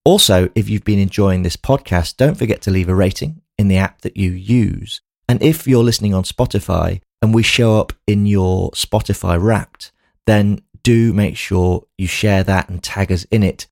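Low, creaky-voiced podcast host, male. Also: moderate pace, darkish tone, no room sound, clean studio sound. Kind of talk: podcast outro, addressing listeners.

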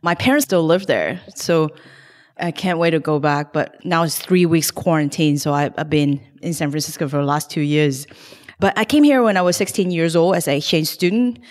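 Low-voiced woman. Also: moderate pace, big-room sound. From Hong Kong. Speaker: guest investor. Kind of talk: casual podcast interview.

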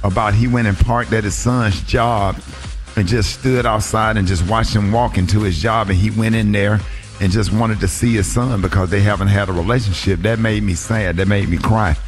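A man hosting a casual radio morning show, talking in a halting way and repeating his words. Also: on the low side, steady tone. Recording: music playing behind the voice. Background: hip hop music.

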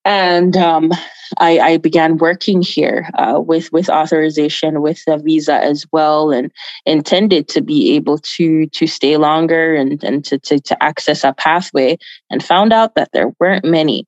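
A woman's voice: low-pitched, a little slow, dry recording, muffled recording. A woman from Jamaica giving earnest, emphatic answers as an activist guest in an interview, repeating phrases for stress.

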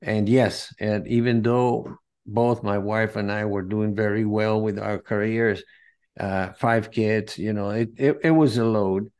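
A man, a podcast guest telling his life story in an earnest, halting way as he searches for words. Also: on the low side, steady tone, a little mellow. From Venezuela.

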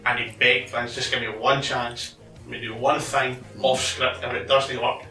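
A man's voice: mid-range voice, flat delivery, moderate pace, pushed and bright, far from the mic, faint music behind. Laid-back man, off-mic in an echoey room. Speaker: podcast co-host. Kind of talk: casual chat.

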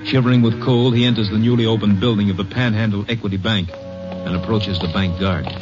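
A man's voice: on the low side, fairly even intonation, brisk. A man with an American accent giving scripted narration for a 1950s radio drama. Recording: muffled old radio broadcast. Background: music.

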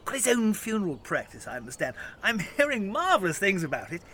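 A British actor playing a cheerful, oblivious funeral officiant in an audio sitcom, rambling on breezily. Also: male voice, high voice, huge pitch swings, fairly quick, sharp tone, a little hiss or hum, exaggerated voice. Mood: breezy, cheerful.

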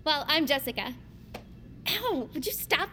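An airheaded, nosy teen girl with a high voice. Her blunt lines ring with long reverb in an audio drama. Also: animated, a little slow, sharp tone, a little hiss or hum, heavily acted. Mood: curious, clueless. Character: ditzy, boy-crazy, nosy teenage girl. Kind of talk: fiction podcast acting.

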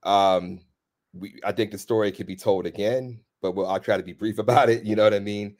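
A man's voice: on the low side, steady tone, a little fast, darkish tone. A man from Washington, D.C., a hip-hop journalist leading an easygoing casual conversation. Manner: easygoing, leads the talk with frequent fillers.

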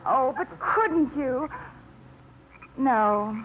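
A bright, lively single gal in a 1950s radio drama, high voice, dull old broadcast sound. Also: female voice, very slow, full character voice. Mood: restless, playful. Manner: bright, spirited, teasing. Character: Maggie, a lively single small-town woman. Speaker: lead actress. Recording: muffled old radio broadcast, faint hiss.

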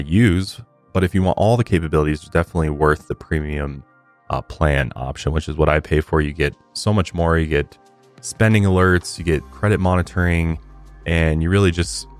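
Very deep-voiced male podcast host. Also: dry recording. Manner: conversational, informal. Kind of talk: casual podcast commentary and sponsor read.

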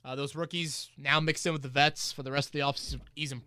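Chatty man, bright and dry. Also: fairly high pitch, fairly even intonation, brisk, clean tone, no room sound. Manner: chatty, rambling with fillers and restarts. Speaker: podcast host and team reporter. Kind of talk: casual sports podcast chat.

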